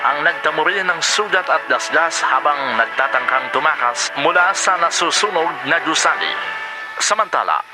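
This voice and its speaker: A man's voice: on the high side, lightly acted. A man playing a serious Filipino television news anchor, reading a bulletin in a formal announcing style.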